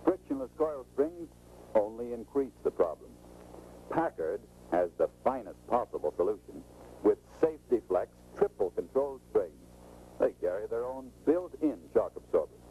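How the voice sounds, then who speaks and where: An American man presents a scripted 1940s training film in an instructive, salesmanlike way. His voice is very dark and mellow, with lively, swinging intonation. It comes through a muffled old film soundtrack with faint noise. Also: mid-range voice, moderate pace.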